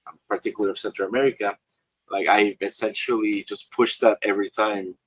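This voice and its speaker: A man's voice: fairly low pitch, steady tone, a little fast, husky, dry recording, rough recording. A man from Guatemala, an author and illustrator, speaking on a panel in a hesitant way full of fillers and restarts. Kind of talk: casual panel discussion.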